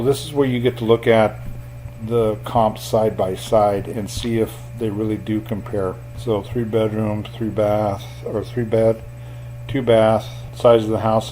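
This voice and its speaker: A man walking a viewer through comparing property sales on screen, in an plain, unhurried way with fillers and a voice he calls boring. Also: lowish voice, warm tone, a little hiss or hum, poor audio. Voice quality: self-described boring voice. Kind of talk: screen walkthrough tutorial.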